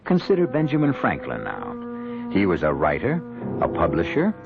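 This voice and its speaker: Deep, animated man hosting radio drama. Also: gravelly. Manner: theatrical, deliberate phrasing. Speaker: radio drama host and narrator. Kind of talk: scripted radio drama narration. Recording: dull vintage radio recording. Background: music.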